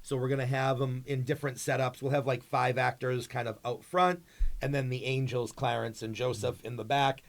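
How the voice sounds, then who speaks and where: A man's voice: medium pitch, steady tone, moderate pace, creaky. A man speaking as a school drama advisor in an interview, explaining things casually and conversationally. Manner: conversational, peppered with "you know".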